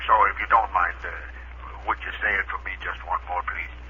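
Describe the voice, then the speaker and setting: An actor playing a dim, boastful rocket pilot sidekick in a 1930s radio serial, stammering and folksy. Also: male voice, low-pitched, steady tone, fairly quick, close to an everyday voice. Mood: sheepish, proud. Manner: bumbling, stammering, folksy drawl of bad grammar. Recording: noisy, tinny 1930s radio broadcast recording.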